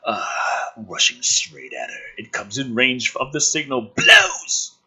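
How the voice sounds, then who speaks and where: A man's voice: medium pitch, wildly swooping pitch, slow pace, pushed and bright, breathy edge, poor audio. A man reading a script aloud theatrically, with sudden emphasis and sarcastic, exasperated, profane commentary. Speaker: host reading and mocking a film script.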